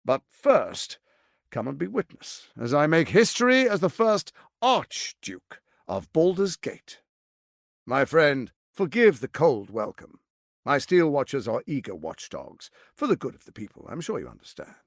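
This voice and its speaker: An actor playing a suave, ambitious, scheming lord in a video game, speaking in a theatrical, articulate and condescending way. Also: male voice, a little high, sing-song, a little slow, gravelly, no echo, clean studio sound, heavily acted. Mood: grandly smug. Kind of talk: dramatic video game voice acting.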